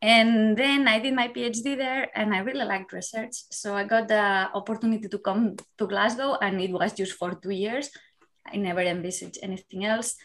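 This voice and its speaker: A woman's voice: medium pitch, fairly even intonation, moderate pace, fairly bright tone. Woman in her thirties. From Spain. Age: 30s. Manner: candid, reflective, laughs easily. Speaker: guest, university lecturer. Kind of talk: casual interview chat.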